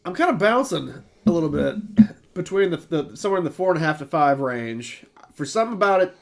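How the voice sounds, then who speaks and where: Animated, stuffed-up man. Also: a little high, fast, gravelly. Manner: animated, swings between mumbles and excited outbursts. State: stuffy nose. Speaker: podcast co-host. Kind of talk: casual chat.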